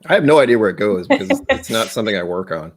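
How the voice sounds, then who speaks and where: A man's voice: on the low side, wildly swooping pitch, racing. A man hosting a whiskey tasting livestream, chatting casually in a jokey, rambling, self-deprecating way. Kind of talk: casual chat.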